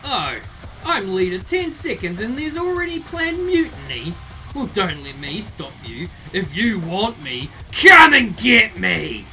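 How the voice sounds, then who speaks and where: A man's voice: high voice, big pitch swings, a little slow, heavily acted. Cocky, sadistic teenage boy, swooping delivery. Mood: defiant challenge. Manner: taunting, theatrical, mocking. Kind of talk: visual novel voice acting. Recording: music behind the voice. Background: music.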